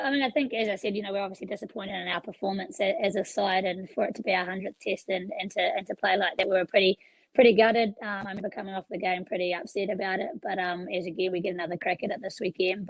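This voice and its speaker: A woman rugby player speaking as a guest on a podcast, her voice worn and nearly gone. Her tone is gravelly, and she keeps a flat, steady delivery with relaxed filler words. The sound is dry and close. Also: medium pitch, dry recording, poor audio. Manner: relaxed, easygoing answers with many fillers. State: losing her voice. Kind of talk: casual interview.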